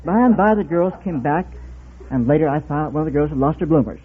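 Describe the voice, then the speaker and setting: A man's voice: on the high side, lively intonation, fast talker. Man contestant on noisy old radio. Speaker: quiz show contestant. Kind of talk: answering a host's questions. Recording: old radio broadcast, narrow band, audience noise. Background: live studio audience.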